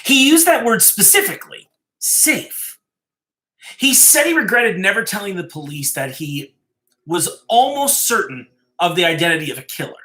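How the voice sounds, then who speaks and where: A man reading a story aloud as a dramatic storyteller, deliberate and suspenseful, stressing key phrases and dropping to hushed endings. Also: high voice, very animated. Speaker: storyteller, host of a live reading show.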